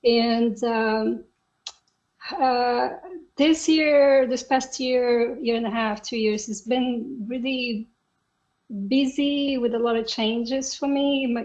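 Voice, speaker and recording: A woman from Brazil with a Brazilian Portuguese accent, a guest on a tech podcast who speaks in a halting way and often pauses to find her words. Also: a little high, darkish tone, low-quality recording. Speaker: podcast guest, software engineer. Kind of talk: casual interview talk.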